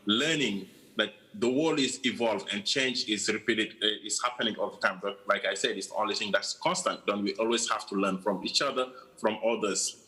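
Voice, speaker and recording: An interview guest, a man with a low voice and a bright, forceful edge. He talks earnestly and reflectively, dropping in "you know" often. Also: moderate pace, rough recording. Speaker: guest from a changemaker organisation. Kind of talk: interview answers.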